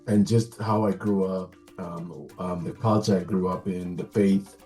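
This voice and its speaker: A man's voice: sits low, steady tone, a little slow, dark tone, soft background music. A man from Nairobi with a Kenyan accent, answering interview questions as a guest in a reflective, earnest way. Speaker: interview guest, community leader.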